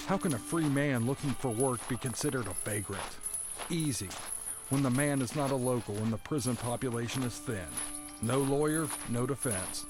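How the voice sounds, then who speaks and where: An actor playing a bitter, doomed prisoner who narrates a first-person audio drama with brooding, dramatic phrasing. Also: male voice, medium pitch, moderate pace, over music, natural voice. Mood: bitter, resigned.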